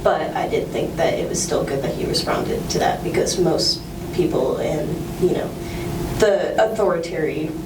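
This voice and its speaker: A teenage girl with a lowish voice answers questions casually, pausing and filling gaps. She sounds far off in an echoey room with noise. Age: teens. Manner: hesitant, full of fillers. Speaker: student interviewee. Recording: roomy, distant school recording with noise.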